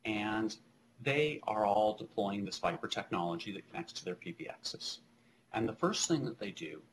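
Man, middle-aged, lowish voice, halting explainer, technical slide presentation, noisy webcam audio. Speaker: keynote speaker, technology presenter.

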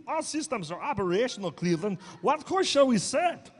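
A man's voice: very high voice, big pitch swings, brisk, slight background noise. A man voicing a mild, chatty, folksy cartoon character as an imperial officer. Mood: cheerfully chatty.

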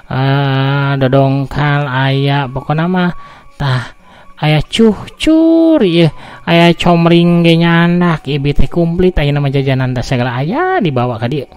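A man voicing a chatty middle-aged village woman who hawks snacks in fussy, rapid chatter. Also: low-pitched, lively intonation, a little mellow, clean tone, pitched above the performer's own voice. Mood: bustling.

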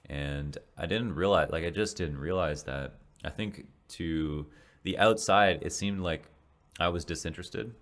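Very deep-voiced young man, rambling. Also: a little slow, fairly bright tone. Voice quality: deep voice that turns raspy. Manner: rambling, many restarts and fillers. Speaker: podcast host and esports caster. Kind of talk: casual solo podcast reflection. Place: small home room, indoors. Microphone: large studio mic in front of face.

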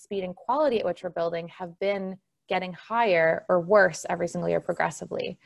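A woman's voice: medium pitch, creaky. A woman from Argentina in her thirties giving an earnest, explanatory slide presentation over a video call. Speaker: conference presenter.

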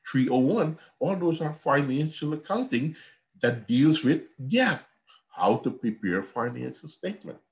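A man, giving an earnest university lecture on a video call from a home office. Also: medium pitch. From Guyana. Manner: earnest, repetitive teacherly explaining. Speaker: accounting professor.

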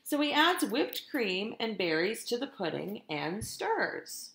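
A middle-aged woman, a teacher, reading a picture book aloud to young children in an expressive, deliberate way, speaking to them directly. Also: a little high, gravelly, echoey room. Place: indoors in a room at home.

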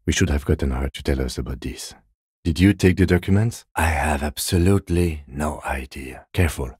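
A man playing a calm, composed middle-aged police detective in a video game, speaking in a measured, restrained and precise way. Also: very deep voice, breathy edge, no room sound, clean studio sound, heavily acted. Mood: cautious, skeptical. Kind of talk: video game voice acting, understated dialogue.

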